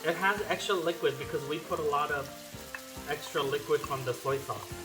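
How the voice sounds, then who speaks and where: A man's voice: fairly high pitch, normal speed. A Korean-born man whose first language is Korean, hosting a casual cooking tutorial in his kitchen and chatting through memories with lots of fillers. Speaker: home cook and video host. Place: home kitchen while cooking. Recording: roomy kitchen with loud background music.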